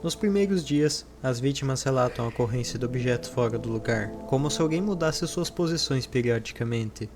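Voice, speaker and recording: A man's voice: medium pitch, lively intonation, fairly quick, few pauses. Casting: male narrator for horror documentary, mid-range voice, scripted read, strong music bed. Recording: prominent music under the voice.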